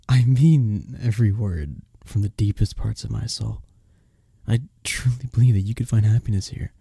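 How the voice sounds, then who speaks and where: Guy doing a smitten polite medieval innkeeper, asmr romance, low-ish creaky breathy voice, super close and dry. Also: unhurried, mellow, dry recording, full character voice. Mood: earnest, admiring. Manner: formal, courtly phrasing with bashful hesitations. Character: courteous, smitten young male village innkeeper, tender and earnest. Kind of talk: intimate romantic ASMR fantasy roleplay.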